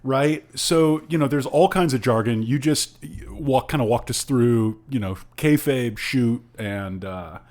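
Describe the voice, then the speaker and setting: A man's voice: mid-range voice, a little slow, few pauses, a little mellow. A man co-hosting a podcast, giving a frank and opinionated book review in informal conversation. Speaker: podcast co-host.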